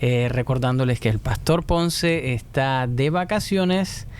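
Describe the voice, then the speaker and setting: A man hosts a relaxed church program with an easygoing, chatty delivery. His voice sits at an ordinary pitch, and a faint noise runs under the recording. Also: measured pace. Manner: friendly, chatty, frequent hesitations. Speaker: host. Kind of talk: casual church talk show. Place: church studio.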